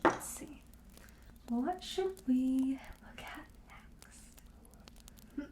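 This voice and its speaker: A woman playing a friendly, soothing witch shopkeeper in an ASMR roleplay, speaking gently and hesitantly and drifting into whispers. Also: high-pitched, drawn-out words, reverberant. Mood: gentle, explanatory. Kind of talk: soft-spoken ASMR roleplay.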